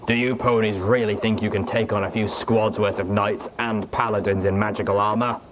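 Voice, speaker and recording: A man voicing a stern armored ghoul stallion, a veteran soldier, gruff and blunt in a story reading. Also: lowish voice, flat delivery, fairly quick, lightly acted. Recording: clear background noise, clicks.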